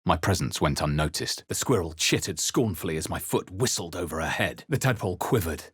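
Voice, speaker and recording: A man voicing a wry, brooding adventurer in a fantasy video game, with a measured, dry, dramatic delivery. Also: low-pitched, expressive, husky, dry recording, heavily acted. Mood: brooding, hushed. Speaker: player character voice in a fantasy role-playing video game. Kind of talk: video game voice lines, exploration remarks, spell chants and inward narration.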